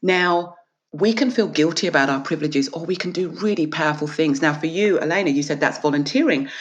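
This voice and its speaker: A woman's voice: sits low, brisk, muffled recording. A woman from Australia, an author and guest, talking earnestly and with emphasis in an interview and acting out small dialogues. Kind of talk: reflective interview conversation.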